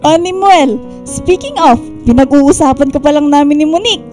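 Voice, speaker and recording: A woman's voice: sits high, moderate pace, mellow, close to an everyday voice. A woman playing a teasing, protective middle-aged mother in a Tagalog radio drama. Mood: warm teasing. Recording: music bed underneath.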